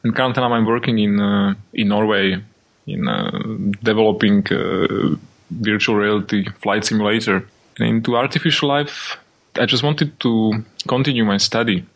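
Gravelly Slovak-accented young man. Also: fairly low pitch, steady tone. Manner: halting, searching for words in English. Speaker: guest. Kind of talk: podcast interview.

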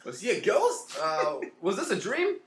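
A man's voice: high voice, wildly swooping pitch, a little slow, fairly bright tone, slight background noise, exaggerated voice. Man playing a stammering radio producer, echoey room. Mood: nervous. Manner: hesitant, stammering. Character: flustered, earnest male radio producer. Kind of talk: mock old-time radio play.

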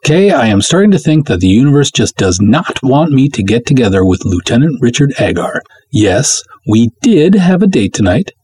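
Guy reading as a chatty wry gal confiding in her pal, low husky voice, story serial. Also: darkish tone.

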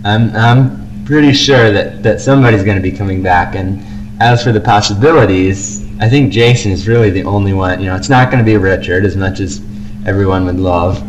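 A man's voice: fairly low pitch, a little fast, noisy background. A young man chatting casually as co-host of a fan podcast, on an amateur home recording.

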